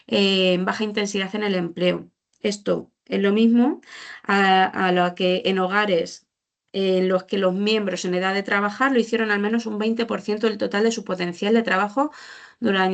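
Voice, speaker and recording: A woman's voice: mid-range voice, vocal fry. A woman presenting report findings informally, explaining hesitantly and often rephrasing or repeating her points. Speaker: social policy presenter.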